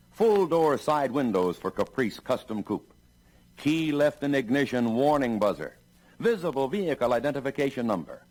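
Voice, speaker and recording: American guy doing 60s sales film narration, announcer style, emphatic and measured, pitch goes up and down a lot. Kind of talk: 1960s industrial film scripted narration.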